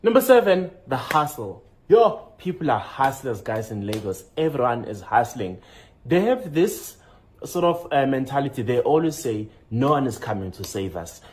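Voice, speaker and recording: A man from South Africa with a South African accent, chatting casually in a travel vlog and excitedly announcing each numbered point. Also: moderate pace. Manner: animated, jumps up in pitch to announce list items. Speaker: vlogger.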